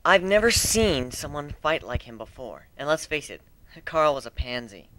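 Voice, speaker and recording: A man's voice: on the high side, sing-song, moderate pace, a bit bright, pitched above the performer's own voice, poor audio. A man voicing a confident young female fighter who talks in a breezy, coaxing, reassuring manner.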